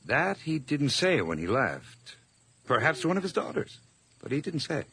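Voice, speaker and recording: A man's voice: a little high, very animated, moderate pace, gravelly, fairly bright tone, slightly rough, no echo, heavily acted. An actor playing a nervous, over-polite hired man hiding guilt, stammering and effusive in an old radio horror drama. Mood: evasive, polite.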